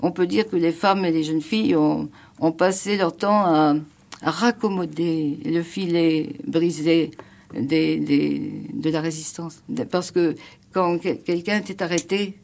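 Older woman with a low, creaky voice. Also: darkish tone. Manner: hesitant, many restarts and fillers. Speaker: former resistance member interviewed. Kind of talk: archival interview recollection.

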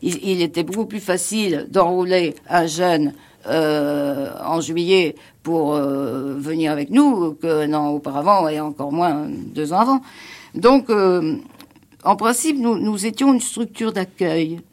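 A woman's voice: lowish voice, unhurried, darkish tone. An older woman recalling events in an archival interview, speaking hesitantly with many restarts and fillers. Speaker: former resistance member interviewed.

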